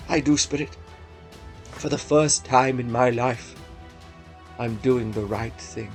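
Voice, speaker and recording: An actor playing a sad, repentant old grouch in a radio play, speaking haltingly and with open emotion. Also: male voice, mid-range voice, soft background music. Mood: resolved.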